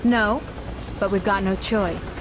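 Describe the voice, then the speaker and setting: A woman playing a brisk, no-nonsense American intelligence agent in a video game cutscene. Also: medium pitch, background noise, lightly acted. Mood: composed.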